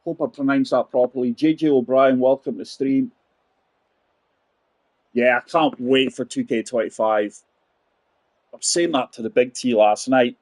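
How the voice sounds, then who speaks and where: A man's voice: medium pitch, unhurried, long pauses, a little mellow, no room sound. A man in his forties giving chatty live commentary on a golf game, talking straight to his viewers. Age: 40s. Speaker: game streamer.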